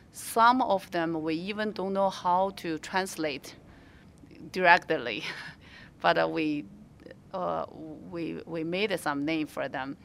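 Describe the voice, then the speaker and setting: Slow, halting woman with Mandarin accent. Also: fairly low pitch, vocal fry, slight background noise. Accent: Mandarin Chinese. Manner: halting, many fillers and restarts. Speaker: restaurant owner. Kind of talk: interview answers.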